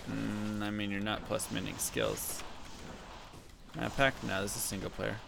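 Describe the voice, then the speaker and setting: A man with a deep voice casually talking to chat and muttering to himself while playing a game on a live stream. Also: flat delivery, a little slow, gravelly, background noise. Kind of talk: casual gameplay commentary.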